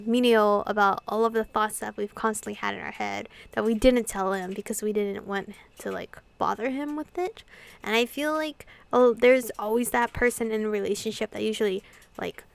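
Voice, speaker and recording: A woman doing an informal, expressive playthrough of a visual novel, reading and commenting as host. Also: mid-range voice, vocal fry, smooth. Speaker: gaming video host.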